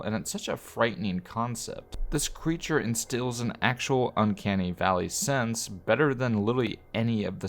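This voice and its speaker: A man delivering a scripted, opinionated review as a video host, earnest and measured. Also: lowish voice. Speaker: YouTube reviewer.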